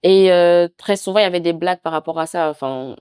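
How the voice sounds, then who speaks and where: A young woman from Cameroon with a Cameroonian French accent, speaking as a guest author in a reflective, halting way. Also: lowish voice, clean tone, no echo, studio quality. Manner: reflective, soft-spoken, halting. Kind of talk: intimate podcast conversation.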